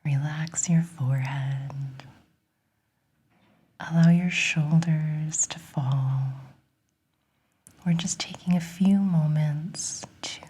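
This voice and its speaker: Slow, breathy whispering woman. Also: sits low. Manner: gentle, soothing, encouraging. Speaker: guided relaxation host. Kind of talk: whispered ASMR guided meditation.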